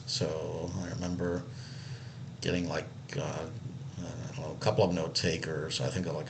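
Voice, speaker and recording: Man from Israel, very low voice, loose meandering storyteller, faint room ambience behind him. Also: a little hiss or hum. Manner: relaxed and meandering, many fillers and restarts. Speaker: accessibility analyst. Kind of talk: casual personal story.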